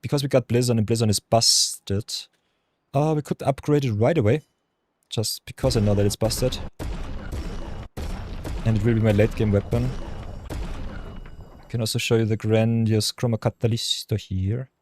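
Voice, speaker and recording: Male game streamer, deep voice, easygoing chatter with chat, mutters to himself mid-play. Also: steady tone, normal speed, pauses often, darkish tone, a little hiss or hum. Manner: chatty, talks to chat viewers, hums and mutters while thinking. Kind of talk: casual live-stream chat over gameplay.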